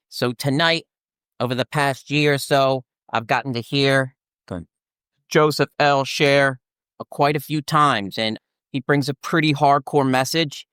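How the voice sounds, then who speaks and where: A man introduces the next speaker at a recovery meeting in an easygoing, casual way. His voice is smooth and clear and sits at an ordinary pitch. The sound is dry and close. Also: fairly even intonation, a little slow, fairly bright tone, dry recording.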